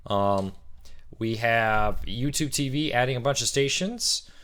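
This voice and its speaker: Man hosting a live Q&A, slightly rough voice. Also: medium pitch, measured pace, a bit bright. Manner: chatty, reads out viewer comments and greets them by name. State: voice a little rough from cheering. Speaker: host of a live Q&A stream. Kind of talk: casual live-stream Q&A.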